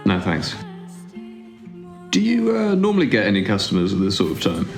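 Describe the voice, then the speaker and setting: Man acting a grouchy recluse, animated pitch. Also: moderate pace, faint music behind. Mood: awkward, polite. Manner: stammering, dry sarcasm. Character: grouchy, reluctant male recluse, sarcastic and awkward. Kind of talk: audio drama acting, conversational.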